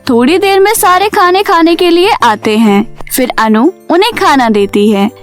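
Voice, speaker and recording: A very high-voiced woman tells a scripted cartoon story. She works as the storyteller, with music playing behind her narration. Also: animated, normal speed.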